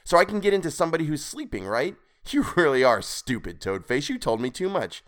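A man's voice: a little high, very animated, a little fast, a bit bright, pitched above the performer's own voice. A man playing a defiant, sarcastic ghost of a man in an audiobook, brash and indignant. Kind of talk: dramatised audiobook character voice.

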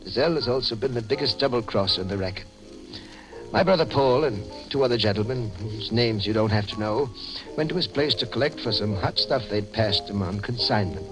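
An actor playing a bitter, genteel ex-convict swindler in 1950s radio crime drama, speaking in precise wordy phrases mixed with underworld slang. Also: male voice, lowish voice, a little fast, natural voice, low-quality recording. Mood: confiding, bitter. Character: shifty genteel male ex-convict book swindler, bitter, secretive. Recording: old 1950s radio recording, music behind.

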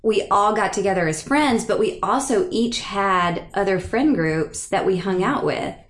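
A woman's voice: medium pitch, animated, a little slow. A woman in her thirties hosting a podcast, leading a casual chat with friends in a chatty, easygoing way. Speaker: podcast host.

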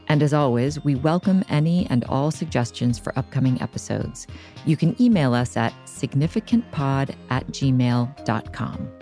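Very low-voiced woman podcast host. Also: dark tone. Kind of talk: conversational interview hosting.

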